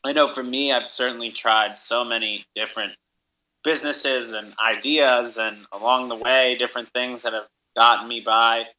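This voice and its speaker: Very bright, forward-voiced man hosting. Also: medium pitch, steady tone, normal speed, low-quality recording. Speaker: host, independent music artist. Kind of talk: casual interview hosting.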